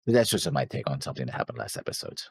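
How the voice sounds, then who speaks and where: A deep-voiced man with a husky edge thinks aloud in a casual, rambling co-host chat. His pitch moves around in a very lively way, and the sound is close and dry. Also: rapid-fire, no room sound. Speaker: co-host of an anime reaction show.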